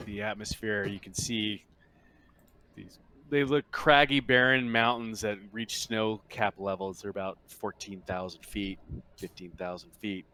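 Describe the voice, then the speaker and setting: A man improvising narration as the game master of a tabletop roleplaying game, haltingly searching for words. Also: on the low side, fairly even intonation, sharp tone, clean tone.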